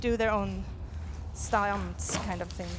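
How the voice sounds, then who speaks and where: A woman with a Swedish accent presenting as a conference speaker, in a halting way, searching for words. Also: medium pitch, steady tone, background noise. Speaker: conference speaker, audio drama producer. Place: large indoor conference venue. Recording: reverberant conference hall.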